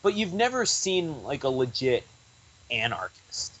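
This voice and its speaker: A man's voice: mid-range voice, very animated, measured pace, fairly bright tone, slightly rough, rough recording. A young man talking as a guest on a podcast, rambling loosely with lots of "like" and restarts. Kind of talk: casual interview chat.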